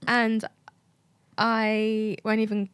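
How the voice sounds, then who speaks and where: A woman's voice: on the high side, monotone, extremely slow, clean tone, no room sound. A British woman chatting casually and candidly as a podcast guest. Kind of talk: casual chat.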